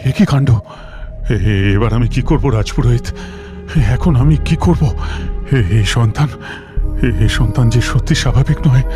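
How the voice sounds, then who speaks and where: A man's voice: medium pitch, animated, normal speed, long pauses, close to an everyday voice. A man voicing a protective, emotional king and father in a cartoon fairy tale, with stately dramatic delivery. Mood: anxious. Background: music.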